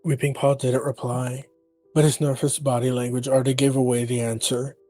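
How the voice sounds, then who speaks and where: A man's voice: mid-range voice, flat delivery, a little fast, dark tone, no room sound. A man narrating a scripted third-person animal story as part of a roleplay. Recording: dry, music behind.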